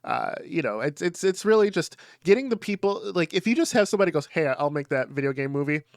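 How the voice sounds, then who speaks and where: A man co-hosting a casual podcast, swearing freely, laughing often and talking excitedly. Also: fairly high pitch, quick pace, dry recording, clean studio sound. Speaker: podcast co-host. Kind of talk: casual chat.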